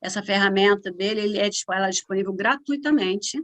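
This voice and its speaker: A woman with a Brazilian accent giving a lively, conversational conference talk over a video call as a cross-cultural consultant. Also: mid-range voice, fast. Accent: Brazilian Portuguese. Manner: lively, conversational, frequent tag questions.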